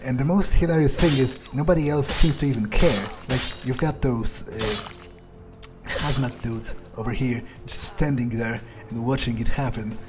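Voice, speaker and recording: A man's voice: mid-range voice, moderate pace, natural voice. A man voicing a cynical, foul-mouthed vampire in a video game, muttering casually to himself as he thinks aloud. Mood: amused. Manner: casual, sweary, hesitant muttering. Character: cynical, foul-mouthed male vampire prowling a city for prey. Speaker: gameplay roleplayer. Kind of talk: in-character gameplay monologue, thinking aloud. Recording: game music behind the voice.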